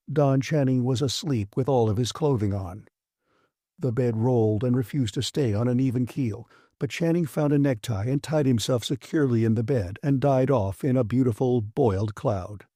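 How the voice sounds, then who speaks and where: A man reads science fiction as an audiobook narrator. His voice sits at an average male pitch with a mellow, relaxed tone, and the recording is very dry. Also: dry recording.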